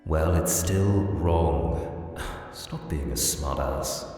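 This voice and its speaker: Guy doing a tired formal skeleton scientist for a comic dub, real deep, huge echo, stern lecture-y delivery. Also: very slow, dark tone, full character voice. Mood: exasperated. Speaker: voice actor in a fan comic dub. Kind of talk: dramatic comic dub acting. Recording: very reverberant hall, distant, music behind.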